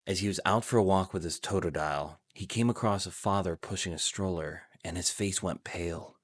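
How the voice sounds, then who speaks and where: A man's voice: deep voice, fairly quick, dry recording, clean studio sound. A man reading scripted fan fiction as the narrator, telling the story in prose.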